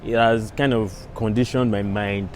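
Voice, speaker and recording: A man's voice: fairly low pitch, fairly even intonation, moderate pace. A man speaking reflectively and casually in a street interview. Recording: faint outdoor traffic noise.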